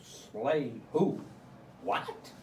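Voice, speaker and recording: A man plays a naive, slow-witted puppet sidekick with a higher voice that swoops up and down. He sounds hesitant and curious, in a roomy, distant comic puppet-show recording. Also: expressive, slight background noise. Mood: naive curiosity. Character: dim, curious, easily distracted puppet sidekick.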